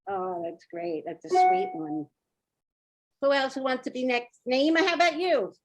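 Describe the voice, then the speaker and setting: A woman with a fairly high voice moderates a talk-back. She speaks off the cuff, addressing a group and passing questions along in a conversational way. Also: lively intonation, measured pace. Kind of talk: unscripted panel moderating.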